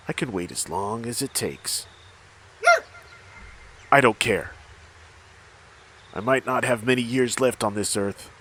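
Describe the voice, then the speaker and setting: An actor playing a weary, bitter old father haunted by a cursed mask in a horror audio drama, with anguished, halting delivery. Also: fairly low pitch, fairly bright tone, slight background noise. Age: older.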